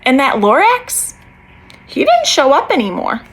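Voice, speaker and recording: A woman's voice: high voice, wildly swooping pitch, a little slow, noisy background. A woman reading a rhyming children's storybook aloud expressively. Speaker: school staff story reader.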